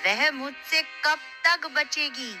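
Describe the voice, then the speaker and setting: A woman's voice: high voice, slow, music in the background. A woman voicing a wicked, greedy, power-hungry cartoon villainess, with a menacing, gloating delivery. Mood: gloating menace. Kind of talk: cartoon fairy-tale villain acting.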